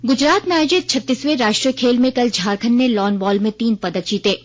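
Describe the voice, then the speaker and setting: A woman's voice: on the high side, a little fast. A woman reads a formal scripted regional news bulletin on the radio as the newsreader. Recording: dull lo-fi radio broadcast.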